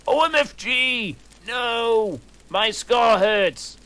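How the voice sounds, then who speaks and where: A man's voice: very high voice, lively intonation, very slow, bright, forceful tone, smooth, voice pushed up, exaggerated voice. A man voicing a melodramatic teenage goth boy vampire, whiny and overwrought. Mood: panicked.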